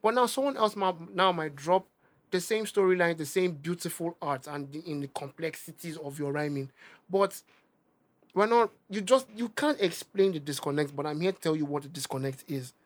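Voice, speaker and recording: A young Nigerian man with a Nigerian accent, a rapper talking casually in an interview, animated and emphatic, often repeating himself. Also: on the high side, moderate pace. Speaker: rapper guest.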